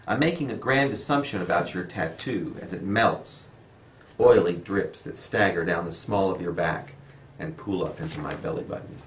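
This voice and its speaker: Low-voiced man reading poetry in an echoey room. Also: moderate pace. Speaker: poet. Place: room in a home. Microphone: camera microphone, no dedicated mic. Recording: roomy echo, faint noise, amateur video.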